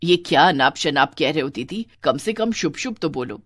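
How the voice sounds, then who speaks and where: Woman acting a soft, low-toned patient mother. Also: expressive, normal speed, no echo, clean studio sound. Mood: worried, pleading. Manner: soft, placating, pleading. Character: gentle worried middle-aged mother, patient and meek.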